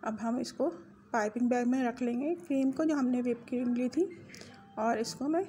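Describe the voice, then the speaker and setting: Chatty woman with a higher voice. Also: fairly even intonation, moderate pace, warm tone, slight background noise. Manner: chatty, self-correcting on measurements. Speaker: home cooking channel host. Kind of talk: casual recipe walkthrough. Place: home kitchen.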